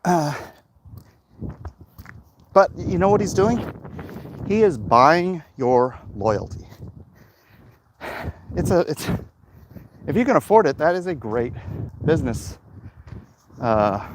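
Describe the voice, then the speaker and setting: A man's voice: fairly high pitch, sing-song, takes it slowly, long pauses. A man livestreaming casually to viewers on his phone while walking outdoors, rambling and emphatic. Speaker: livestream host, comic artist. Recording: noisy outdoor walking recording.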